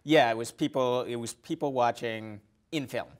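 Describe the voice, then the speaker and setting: A man's voice: medium pitch, moderate pace. A man in a casual podcast conversation about film, hesitant and often correcting himself in a loose, conversational way. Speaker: podcast co-host or guest.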